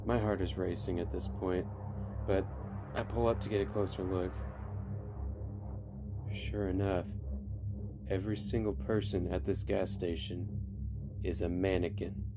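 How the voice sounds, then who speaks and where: A man reading creepy stories as a podcast host, in scripted narration with measured, deliberate phrasing and dramatic pauses. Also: sits low, fairly even intonation. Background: faint music.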